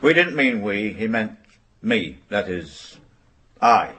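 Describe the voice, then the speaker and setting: A railway union man defending his member in a radio drama. The voice is husky and lively in pitch. He is formal and emphatic, protective and ready to bristle. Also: slow, full character voice. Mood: correcting, careful. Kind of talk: naturalistic radio drama acting.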